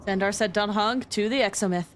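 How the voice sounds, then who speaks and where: A woman livestreaming a game, chatting casually and reacting in an animated, chatty way. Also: mid-range voice, fairly quick, a little hiss or hum. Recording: faint game music behind. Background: game music.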